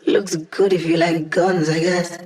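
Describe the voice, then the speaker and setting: A woman's voice: lowish voice, little pitch movement, takes it slowly, a little mellow. An actress voicing a cocky, sardonic young female hacker who lives as a hologram in a video game, with slangy, teasing delivery. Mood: playful, teasing. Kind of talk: video game character voice lines.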